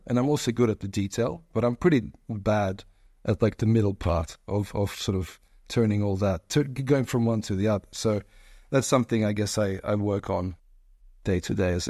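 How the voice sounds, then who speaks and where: A man's voice: lowish voice, mellow, no room sound, clean studio sound. A man in his forties with an Australian accent, chatting as an interview guest, long-winded with many restarts. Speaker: guest, investor. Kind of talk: casual interview chat.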